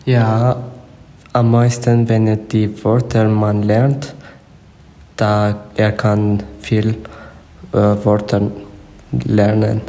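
A man from Eritrea, answering an interview haltingly and searching for words. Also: fairly low pitch, completely flat delivery, warm tone. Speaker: interviewee, language learner.

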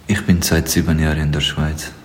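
A man's voice: very deep voice, little pitch movement, a little fast, darkish tone, echoey room, slight background noise. A man answering interview questions in a plain, matter-of-fact way. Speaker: interviewee, language learner.

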